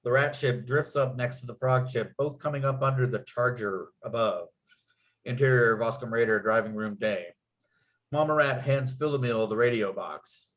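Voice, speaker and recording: A man's voice: mid-range voice, normal speed, a bit bright. Man narrating script stage directions. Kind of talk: reading screenplay stage directions at a table read.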